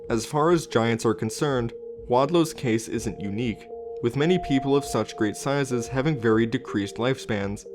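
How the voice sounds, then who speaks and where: A man's voice: medium pitch, moderate pace. Man narrating a documentary over music. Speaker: host and narrator. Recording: music under the voice. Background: background music.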